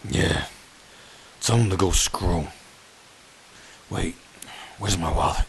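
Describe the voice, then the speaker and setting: An actor playing a wounded, menacing gangster boss with a damaged, halting voice in an old-time radio drama. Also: male voice, low-pitched, full character voice. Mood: cold, commanding. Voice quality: gravelly damaged voice. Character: wounded gangster boss, frantic then cold and commanding. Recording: noisy track with ambience behind.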